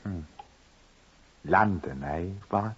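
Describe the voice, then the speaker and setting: An actor playing a slow-witted, rough, greedy jailed husband in an old radio drama, ponderous and grunting. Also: male voice, deep voice, exaggerated voice. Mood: puzzled, wary. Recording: dull old radio recording, loud noise.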